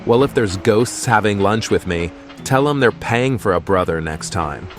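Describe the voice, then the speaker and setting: A man's voice: on the low side, lively intonation, moderate pace, lightly acted. A man playing a jovial, teasing small-town diner regular in an audiobook, folksy and joking. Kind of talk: audiobook character dialogue. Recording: faint music behind the voice.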